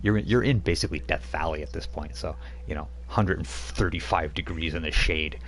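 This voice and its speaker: A man's voice: rumbling low, a little fast, a little hiss or hum. A man running a tabletop roleplaying game as game master, telling the scene in a rambling, chuckling way with many fillers.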